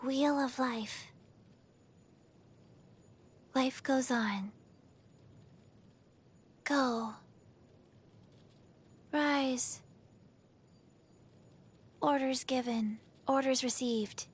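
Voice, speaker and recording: A woman voicing an undead, forgetful little girl in a video game, in slow, halting, flatly childlike short phrases. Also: high-pitched, slightly rough, exaggerated voice. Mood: flat, dutiful.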